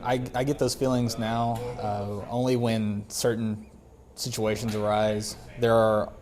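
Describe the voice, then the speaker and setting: Male interviewee, air traffic controller, lower-pitched and flat in delivery, slight background noise. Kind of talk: interview answers about own job.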